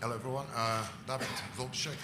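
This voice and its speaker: A man from Poland, a fighter, introducing himself softly with many fillers at a press conference. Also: medium pitch, level tone, fast, reverberant, very noisy.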